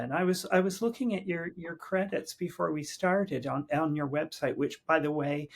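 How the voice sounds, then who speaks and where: A man hosts an interview podcast in a somewhat high voice with a gravelly, husky texture. He reads his opening in a measured, friendly way, then asks his questions with small restarts. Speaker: podcast host. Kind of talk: scripted introduction and interview questions.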